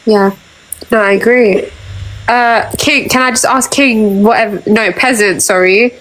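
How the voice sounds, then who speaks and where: A woman calling into a debate stream over a video call, sassy and teasing and quick to laugh. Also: fairly high pitch, steady tone, a bit bright, slight background noise, low-quality recording. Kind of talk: casual chat.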